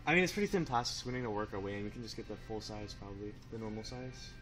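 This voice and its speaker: A man's voice: fairly low pitch, rapid-fire, a bit bright, faint music behind. A man in casual chat, thinking aloud in a tentative way. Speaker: friend installing a car radio. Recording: noisy handheld recording with vehicle and outdoor ambience.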